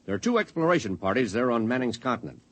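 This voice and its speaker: A man's voice: medium pitch, very animated, fast. An actor in a 1950s radio drama playing a sober, businesslike male commander giving terse official briefings.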